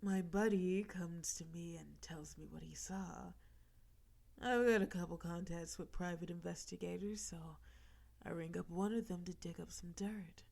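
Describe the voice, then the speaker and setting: Woman performing a female crime boss, lowish creaky voice, teasing, self-assured, menacing, suggestive, audio roleplay. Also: fairly even intonation, darkish tone. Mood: businesslike, smug. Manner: teasing, suggestive, slow deliberate delivery with breathy asides. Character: flirty, menacing female mafia boss, smug and confident. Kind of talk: audio roleplay with gumshoe cliches.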